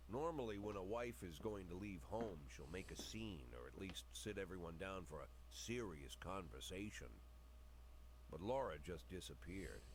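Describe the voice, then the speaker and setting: Weary, low-voiced older police chief. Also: male voice, noisy background. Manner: world-weary, dry, brooding. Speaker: video game narrator and lead character. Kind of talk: dramatic noir monologue.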